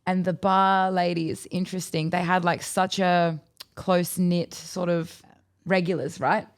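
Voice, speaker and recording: A woman's voice: fairly low pitch, flat delivery, unhurried, clean tone. A young Australian woman co-hosting a casual podcast, asking questions and adding dry quips. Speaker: podcast co-host. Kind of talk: casual chat.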